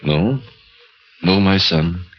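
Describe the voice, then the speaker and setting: Very deep, solemn prison priest. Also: male voice, very slow. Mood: grave, gentle. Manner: grave, hushed, fatherly. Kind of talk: 1940s radio acting. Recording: muffled, faintly noisy old radio recording.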